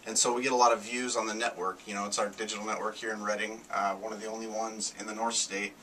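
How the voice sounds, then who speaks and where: A bright, forceful American man's voice, on the low side and very even in tone, heard at some distance. He explains things matter-of-factly as a radio guest, speaking without a script. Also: quick pace. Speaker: guest, local advertising business representative. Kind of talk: unscripted explanatory interview talk. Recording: noisy, distant-sounding radio show capture.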